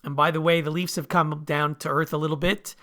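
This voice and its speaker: A man's voice: on the high side, flat delivery, a little fast, pushed and bright. A man co-hosting a sports podcast in casual conversation over a video call.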